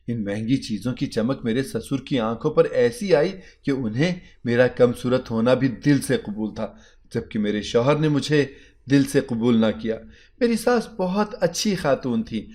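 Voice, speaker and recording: A man with a medium-pitched voice reads a scripted story in the first person. He narrates it dramatically, as a storyteller.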